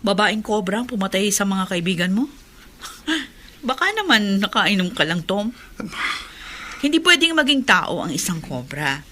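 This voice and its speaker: A woman's voice: mid-range voice, sing-song, a little slow, pauses often, pushed and bright, slight background noise. A woman acting a skeptical, level-headed character, firm and challenging, in a Filipino horror radio drama.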